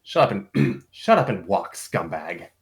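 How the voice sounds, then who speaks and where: A man's voice: low-pitched, sing-song, a little slow, vocal fry, heavily acted. A man voicing a haughty young wild woman, a villainous wolf queen who snaps out imperious threats in a story. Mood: threatening.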